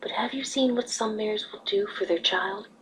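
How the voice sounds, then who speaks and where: A woman voicing a wise, motherly pony ruler in a fan fiction reading, speaking patiently and earnestly with a slightly husky voice. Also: medium pitch, normal speed, fairly bright tone, slight background noise, close to an everyday voice, poor audio. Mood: earnest teaching.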